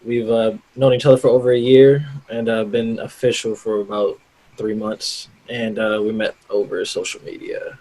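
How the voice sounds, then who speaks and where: Hesitant young man, flat delivery. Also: on the low side, normal speed, low-quality recording. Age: 20s. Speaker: panel guest.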